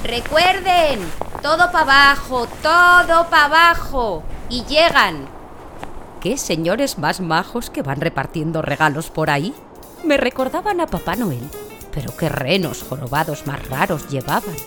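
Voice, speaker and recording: A woman's voice: fairly high pitch, measured pace, music in the background. An actress playing a chatty, blunt, self-satisfied Arctic woman in a comic radio play, talking in an emphatic, colloquial way. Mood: friendly send-off. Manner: colloquial, emphatic, deadpan boasting.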